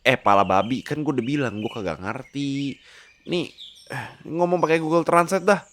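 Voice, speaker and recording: A man voicing a brash, blunt pig character in a bedtime story, loud and exclaiming in Jakarta street slang. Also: medium pitch, normal speed, a little hiss or hum, voice pushed up, natural voice. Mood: brash, exasperated.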